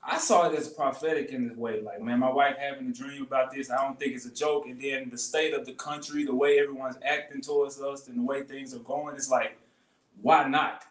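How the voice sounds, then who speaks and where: A man's voice: medium pitch, fairly even intonation, brisk. Man from Memphis, echoey and distant. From Memphis, Tennessee. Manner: earnest, faith-filled talk. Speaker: guest. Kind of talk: casual conversation. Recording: roomy sound from off mic.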